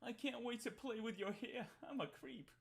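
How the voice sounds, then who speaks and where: A woman informally reading a chat log out loud, play-acting the lines with mock sweetness. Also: on the high side, moderate pace, gravelly. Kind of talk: informal read-aloud of a chat log with asides.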